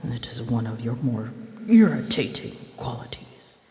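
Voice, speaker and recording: Girl doing a prickly hurt dragon lady, asmr roleplay, real slow n halting, drops to whispers, big echoey reverb. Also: extremely low pitch, sing-song, heavily acted. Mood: prickly, guarded. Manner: halting, hushed, slipping into whispers. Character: prickly, wounded female dragon confiding in her companion. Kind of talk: intimate ASMR roleplay monologue. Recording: roomy long reverb, faint ambient noise.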